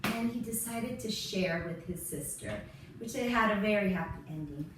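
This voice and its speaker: A woman's voice: medium pitch, expressive, moderate pace. A woman teacher giving a lesson to young children, explaining simply and posing questions she then answers. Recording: very echoey, distant mic, faint noise.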